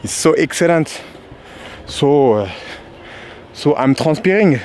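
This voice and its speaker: A man's voice: on the high side, expressive, slow, darkish tone, slight background noise. A man joking and chatting casually while walking outdoors, filming a travel vlog. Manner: joking and teasing. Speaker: travel vlogger. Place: outdoors on a nature reserve trail.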